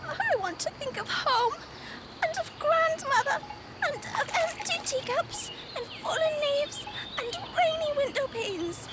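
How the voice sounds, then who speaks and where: Squeaky, gloomy little girl mouse. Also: expressive, measured pace, noisy background. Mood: dismal, sulky. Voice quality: tiny, squeaky. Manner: dramatic, sulky. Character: melodramatic, gloomy young girl field mouse. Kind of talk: audio drama acting.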